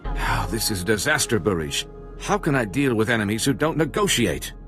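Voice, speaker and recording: Lowish-voiced, troubled ambassador. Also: male voice, very animated, a little fast, a bit bright, soft background music. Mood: dismayed. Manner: formal, earnest, worried. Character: troubled, formal male ambassador. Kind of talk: video game character dialogue.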